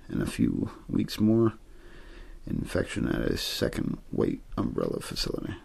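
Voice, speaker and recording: An extremely deep-voiced man reads a story in ASMR style. His voice is husky, breathy and full of gravelly fry. He speaks softly in measured phrases, pausing often. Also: wildly swooping pitch. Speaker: audiobook-style reader for an ASMR channel. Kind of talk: ASMR story reading, scripted narration.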